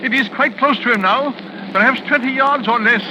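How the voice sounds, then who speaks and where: A scholarly doctor awestruck by horror in a 1940s radio drama. His voice is high, with formal, halting, dramatic phrasing. It comes through a muffled recording with clear background noise. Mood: tense, awed.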